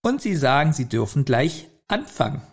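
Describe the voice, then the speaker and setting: Lively, higher-voiced man recapping on a podcast. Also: normal speed, breathy edge. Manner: laughs often. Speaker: podcast co-host. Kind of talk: casual episode recap chat.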